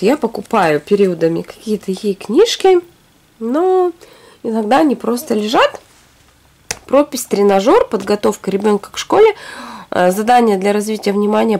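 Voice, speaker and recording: A woman in her thirties from Russia, hoarse from a cold, chatting casually through a shopping haul at home. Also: medium pitch, mellow. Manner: chatty, rambling, talks to herself. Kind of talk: casual product haul chat. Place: home, recording products on a fluffy rug.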